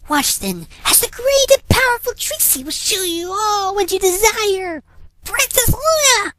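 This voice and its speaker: A man's voice: very high-pitched, big pitch swings, unhurried, sharp tone, no room sound, pitched above the performer's own voice, full character voice, poor audio. A man voicing a boastful, pompous female pony stage magician in a fan fiction reading. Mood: triumphant. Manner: pompous, speaking of herself in the third person. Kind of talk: fan fiction read-aloud character voice.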